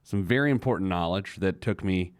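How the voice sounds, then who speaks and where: Deep-voiced American man, dry close recording. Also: brisk, clean tone, no room sound. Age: late 30s. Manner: rambling, wry, conversational. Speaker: radio show host. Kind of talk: casual radio monologue on personal finance.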